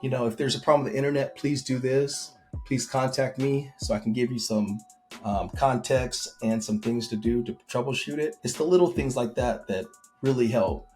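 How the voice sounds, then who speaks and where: A man casually sharing tips for rental hosts, talking in a relaxed way with frequent pauses and fillers. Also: mid-range voice, faint music behind. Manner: relaxed, conversational with frequent ums. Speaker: host. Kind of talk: casual advice talk.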